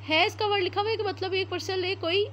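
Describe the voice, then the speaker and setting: A woman with a Pakistani Urdu accent giving an explanatory law lecture, reading English statute text slowly word by word with frequent "uh" fillers. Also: very high-pitched, fairly even intonation, a bit bright, slight background noise.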